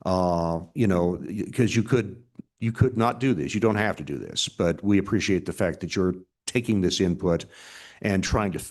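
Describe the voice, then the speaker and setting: A man thanking a witness at a hearing, speaking haltingly with many restarts. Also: low-pitched, a little mellow.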